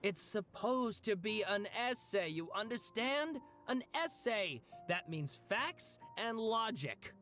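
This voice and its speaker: A woman's voice: mid-range voice, sing-song, takes it slowly, hardly any pauses, a bit bright, faint music behind. A woman playing an earnest, exasperated young university student in a video game, pleading and a little hesitant. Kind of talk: video game character dialogue.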